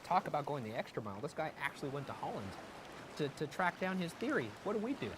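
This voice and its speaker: A man with a somewhat high voice banters quickly and teasingly as a podcast co-host. A clear noise sits behind him. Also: very animated. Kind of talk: casual banter.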